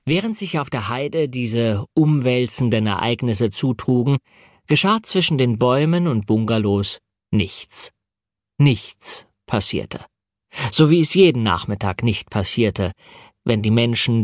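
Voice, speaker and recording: Man reading literary audiobook prose, measured delivery. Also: lowish voice, no echo, clean studio sound. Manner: measured, deliberately phrased delivery with pauses. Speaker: audiobook narrator. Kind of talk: literary audiobook narration, read from a novel.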